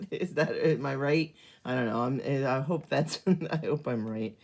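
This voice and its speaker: Hoarse, very low-voiced woman craft host. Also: lively intonation, unhurried, a little mellow. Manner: chatty, many ums, greets viewers by name. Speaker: card-making demonstrator hosting a live stream. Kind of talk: casual live craft demonstration.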